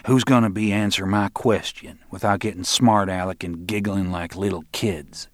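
Audiobook thug, played by a male narrator: cocky male enforcer, folksy and taunting, quietly menacing, lowish voice. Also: steady tone, moderate pace, no echo, clean studio sound, lightly acted. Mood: smug, threatening.

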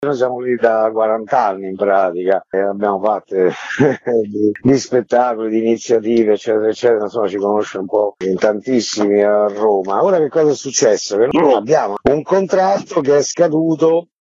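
An earnest man talks on a call-in line, his voice low in brightness and a bit husky. He explains things at length and sounds indignant. The sound is dry and dull, like a lo-fi remote line. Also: moderate pace, no room sound. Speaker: guest, head of a theatre association. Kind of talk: radio interview, explaining a dispute.

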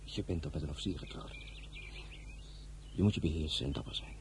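An actor playing a French Revolutionary general and husband, authoritative and measured yet tender, in an audio drama. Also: male voice, very deep voice, a little hiss or hum. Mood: tender, firm.

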